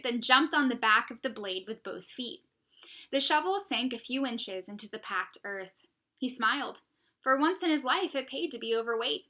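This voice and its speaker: A woman teacher reading a children's novel aloud, with expressive, emphatic phrasing and dramatic pauses. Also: a little high.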